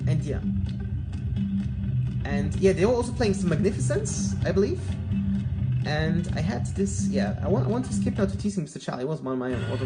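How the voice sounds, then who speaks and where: A man's voice: a little high, mellow. A man whose first language is Polish hosts a casual live stream. He rambles with many fillers and restarts and greets chat viewers by name. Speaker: livestream host. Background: music playing.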